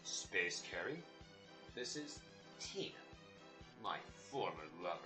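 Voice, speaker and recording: A man's voice: on the low side, expressive, slow pace, music in the background. A man playing a self-pitying, sheepish space captain in a comic sci-fi radio play, with wry, hammy delivery. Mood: sheepish, resigned.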